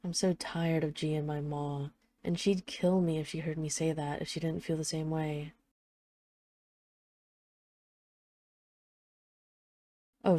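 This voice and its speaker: A woman playing an apologetic, lovesick mafia boss in hiding, speaking in an earnest, halting, confessional way, as in an intimate audio roleplay phone call. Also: on the low side, mellow, natural voice. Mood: rueful.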